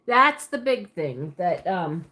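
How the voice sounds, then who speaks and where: A woman's voice: lowish voice, sing-song, takes it slowly, sharp tone. A woman from Pennsylvania with a Pennsylvania Dutch accent and a Southern twist, rambling casually and laughing as she answers viewers on a livestream. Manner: chatty and rambling, laughs often, self-correcting. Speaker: livestream host.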